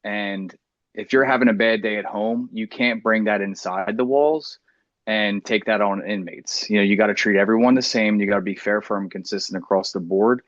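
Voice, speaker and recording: Man, 30s, American accent, fairly low pitch, earnest, plainspoken, casual panel talk, dry recording. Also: normal speed, no room sound, dull audio. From New Jersey, United States. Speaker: podcast panelist.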